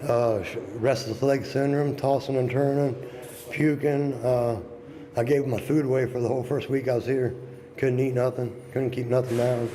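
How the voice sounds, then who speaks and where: A man's voice: medium pitch, flat delivery, normal speed, warm tone, slight background noise, rough recording. A man being interviewed as an inmate, speaking casually in plain colloquial words.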